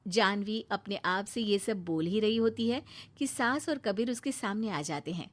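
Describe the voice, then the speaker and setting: A woman's voice: mid-range voice, animated, normal speed. Woman, narrator, scripted storytelling, moral tale.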